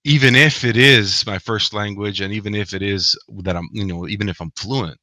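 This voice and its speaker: A man with a fairly low voice and a bright, forceful edge, talking earnestly as an interview guest, often tagging his points with a quick "right?". His first language is Spanish, and the sound is very dry and close, with no room echo. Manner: earnest, animated, frequent "right?" tags. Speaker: guest author. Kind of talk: podcast interview.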